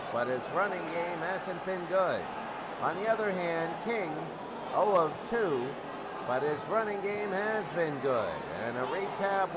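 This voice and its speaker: A man doing excitable play-by-play American football commentary in short, clipped phrases. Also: high voice, very noisy. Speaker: play-by-play sports announcer. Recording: loud crowd and music behind. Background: crowd and music.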